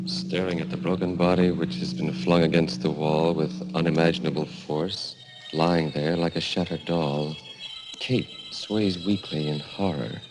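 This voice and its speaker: An actor playing a portentous, theatrical narrator of murder mysteries in 1940s radio style. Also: male voice, rumbling low, fairly even intonation, a little slow, background noise. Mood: ominous. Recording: vintage radio broadcast with music. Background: dramatic music.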